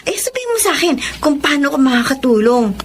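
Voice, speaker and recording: A woman playing a gentle, supportive young wife in a Filipino radio drama. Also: sits high, big pitch swings, quick pace, a little hiss or hum. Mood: affectionate, eager.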